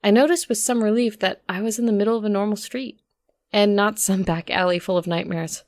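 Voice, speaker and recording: Woman narrating a story, slightly creaky voice. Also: mid-range voice, fairly even intonation, brisk, darkish tone. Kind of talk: expressive scripted story narration.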